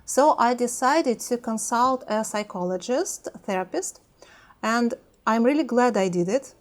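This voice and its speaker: A woman with a Russian accent talking in a reflective personal vlog, hesitant, with many pauses and fillers. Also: fairly high pitch, lively intonation. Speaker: language-learning vlogger.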